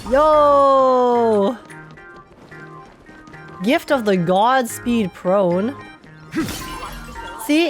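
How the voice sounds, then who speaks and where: A woman streamer giving casual, excitable commentary while playing a game, chatty and quick to swear and laugh. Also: on the high side.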